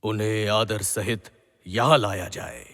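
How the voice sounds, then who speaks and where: Courteous, devout king, played by a man, unhurried formal delivery, mythological radio play, long hall reverb. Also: lowish voice, steady tone, fairly bright tone. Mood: reverent, grateful. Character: humble, reverent, courteous king and new father. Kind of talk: formal Hindi mythological audio drama acting.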